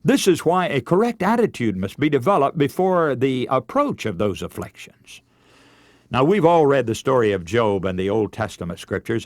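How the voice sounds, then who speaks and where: A man preaching a radio sermon in earnest, measured oratory, moving between scripture and exhortation. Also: medium pitch, no echo, studio quality.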